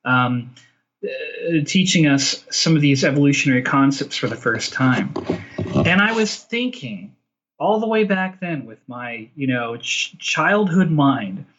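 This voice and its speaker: A man's voice: medium pitch, expressive, a little slow, fairly bright tone, lo-fi sound, a little hiss or hum. A man talking as an interview guest in casual, opinionated conversation, emphatic and repeating phrases to drive points home. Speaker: interview guest, film director.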